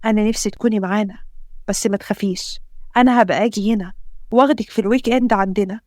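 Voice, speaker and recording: A woman with an Egyptian accent voicing a calm, patient husband and father who reassures steadily. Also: medium pitch, very fast, dry recording, clean studio sound, close to an everyday voice. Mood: gentle. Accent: Egyptian Arabic.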